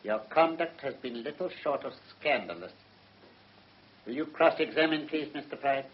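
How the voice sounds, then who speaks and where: An actor playing a stern, dignified judge with an English accent, speaking formally and severely in a radio drama. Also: male voice, mid-range voice, expressive, normal speed, a little hiss or hum, natural voice. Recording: muffled old radio recording.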